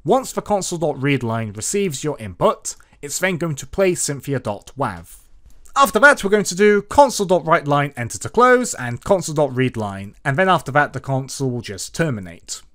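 A man's voice: on the high side, sing-song, normal speed, fairly bright tone. A man hosting an instructional screencast, narrating step by step with emphatic, sing-song presenter delivery. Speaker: tutorial host.

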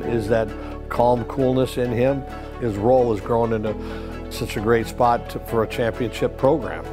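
A middle-aged man speaking earnestly and emphatically, like a coach being interviewed. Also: medium pitch. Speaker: hockey coach. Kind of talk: documentary interview. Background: guitar music bed.

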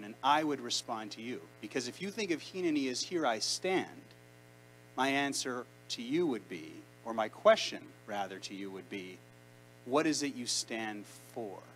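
A man's voice: medium pitch, fairly bright tone, a little hiss or hum, poor audio. A man around thirty from Canada, a rabbi preaching a conversational sermon into a handheld mic in a large sanctuary. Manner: conversational, emphatic preaching with deliberate pauses. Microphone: handheld mic, far camera.

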